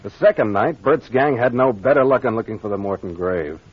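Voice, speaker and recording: An American man playing a brisk, confident captain laying out a solved mystery in 1940s radio mystery style, plain-spoken and authoritative. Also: medium pitch, expressive, slightly rough. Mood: brisk, explanatory. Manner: expository, authoritative, plain-spoken with gangster slang. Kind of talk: 1940s old-time radio mystery acting. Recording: dull, lo-fi vintage radio recording.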